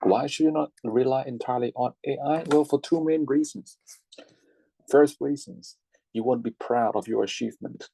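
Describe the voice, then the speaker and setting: A man's voice: mid-range voice, normal speed, creaky, warm tone, slightly rough, no echo, low-quality recording. A young Thai man, a native Thai speaker, teaching an online English writing class in a chatty way, full of fillers, often checking in with "right?" and "okay?". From Thailand. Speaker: lecturer. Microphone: computer mic on video call.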